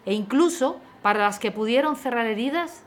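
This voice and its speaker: A woman playing a patient high-school history teacher in an audio drama, explaining in a measured way. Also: expressive, loud music behind the voice, lightly acted. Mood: explaining, reflective.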